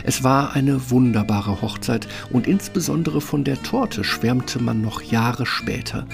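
A man's voice: medium pitch, measured pace, gravelly, music in the background. A man narrating a fairytale radio play as a scripted storyteller.

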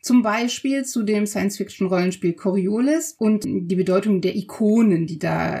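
A woman's voice: mid-range voice, normal speed, warm tone. A woman co-hosting a podcast, speaking conversationally. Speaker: podcast co-host.

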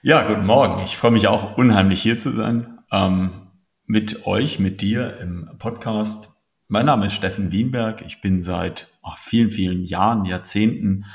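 A man's voice: fairly low pitch, gravelly. A man from Germany, a business consultant speaking as an interview guest. He talks earnestly, with frequent hesitation sounds. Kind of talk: casual interview talk.

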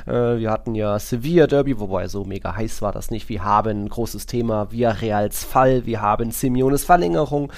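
A man co-hosting a football podcast, talking casually in a rambling, colloquial and animated way. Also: on the low side, fairly quick, vocal fry, hardly any pauses. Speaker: podcast host.

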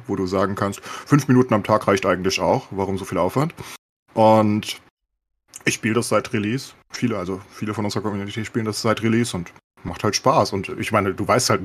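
A man with a German accent chatting casually as a podcast co-host, informal and peppering his talk with English gamer slang. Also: on the low side. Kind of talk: casual gaming chat.

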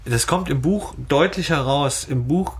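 A man's voice: fairly high pitch, unhurried, slight background noise. A man talking casually and bluntly as a host on a fan podcast. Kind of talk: casual fan podcast chat about books and audio dramas.

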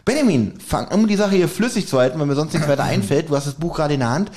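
Ein Mann, der als Co-Host locker und spöttisch in einem Podcast plaudert und dabei oft lacht. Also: male voice, fairly high pitch, lively intonation, fast, a little mellow. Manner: laughs often, wry and teasing. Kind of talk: casual podcast chat.

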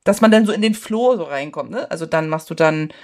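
A German woman who lives in China speaks as a guest, her voice a little on the low side. She tells things in a lively, rambling way, full of filler words. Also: fairly quick. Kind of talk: casual conversation. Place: China, living abroad.

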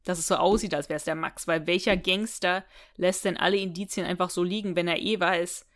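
A woman's voice: on the low side, steady tone, brisk, a bit bright. A woman co-hosting a podcast in a casual chat, recapping a story and speculating aloud with frequent tag questions. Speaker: podcast co-host. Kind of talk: casual chat recapping and discussing an audio drama.

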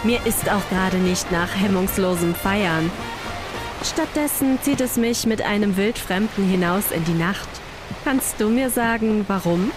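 A woman's voice: mid-range voice, over music, lightly acted. A woman playing a self-assured, flirtatious woman in a science-fiction radio play, teasing and wry. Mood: weary, curious. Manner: wry, unhurried. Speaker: voice actor in an audio drama.

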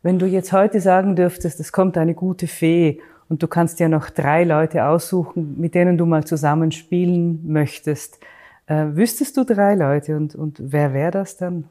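A woman hosting an interview, asking measured, clearly worded questions. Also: fairly low pitch, steady tone, dark tone. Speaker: interviewer, podcast host.

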